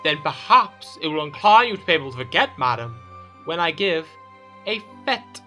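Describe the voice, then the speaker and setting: A man's voice: on the high side, very animated, moderate pace, bright, forceful tone, faint music behind, poor audio. An actor playing a proud, petulant French king in a period audio drama, with a theatrical, formal manner. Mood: conciliatory. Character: proud, petulant French king, suspicious yet conciliatory husband.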